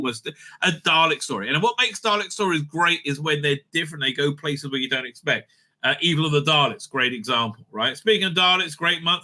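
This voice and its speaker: A middle-aged man giving casual, rambling opinionated commentary as a show host, emphatic and halting, often repeating himself and checking his points. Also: on the high side, pushed and bright.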